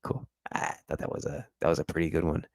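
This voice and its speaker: Casting: male stream host, very low voice, dry close sound, chatty and analytical. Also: fast, a little mellow, no echo. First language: English. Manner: chatty, analytical, addresses viewers directly. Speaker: football podcast host. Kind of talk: live-stream match review.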